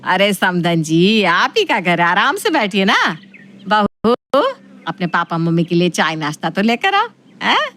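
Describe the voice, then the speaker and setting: A woman's voice: fairly low pitch, very animated, a little slow, fairly bright tone. A woman playing a fussy, middle-aged mother-in-law in an audio story, obsessed with cleaning and prone to nagging. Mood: sugary, fussing. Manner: scolding at family, falsely polite to guests. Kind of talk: Hindi audio story acting.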